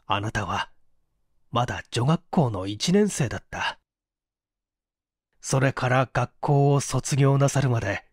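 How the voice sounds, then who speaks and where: A man acts out an anguished male secretary in an audiobook drama. He is bitter and dramatic, with slow delivery and wide swings of pitch. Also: a little mellow, dry recording, studio quality.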